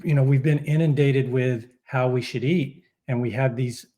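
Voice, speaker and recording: A man hosting a casual interview, talking in a halting way and rephrasing in mid-thought. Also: medium pitch, fairly even intonation, dark tone. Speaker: interview host. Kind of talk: casual chat.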